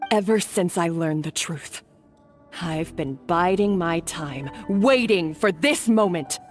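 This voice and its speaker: A woman's voice: fairly low pitch, takes it slowly, soft background music. An actress voicing a guilt-ridden woman accomplice in a video game, speaking in a resolute, bitter, dramatic way. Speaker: game character.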